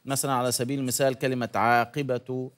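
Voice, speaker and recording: A man's voice: mid-range voice, flat delivery, fast. A man judging a recitation contest, giving friendly, detailed feedback and demonstrating sounds. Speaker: competition judge. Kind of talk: spoken critique.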